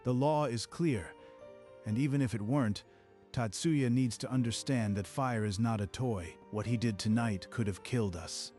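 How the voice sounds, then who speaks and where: An actor playing a principled, unyielding safety consultant in a manga dub, measured and firm. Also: male voice, medium pitch, mellow, soft background music, lightly acted. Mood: grave, unyielding. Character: grave, principled man, senior wildfire prevention consultant.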